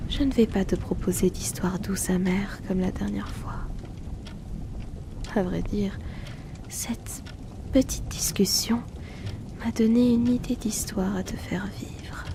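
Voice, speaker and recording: A woman voicing an aloof, wealthy hypnotist in a whispered ASMR roleplay, speaking softly and teasingly into a close mic. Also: mid-range voice. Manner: soft teasing whisper, unhurried.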